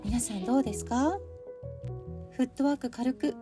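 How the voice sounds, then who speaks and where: Female podcast host, middle-aged, high pitch, conversational and courteous, addressing listeners directly over a clear music bed. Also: moderate pace. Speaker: podcast host introducing picture books. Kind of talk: chatty solo podcast talk.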